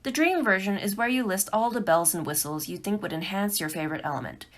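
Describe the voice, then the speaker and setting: A woman's voice: medium pitch, animated, fast talker. A woman giving a scripted tutorial talk for beginners, phrasing her points carefully and with emphasis. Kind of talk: scripted instructional narration.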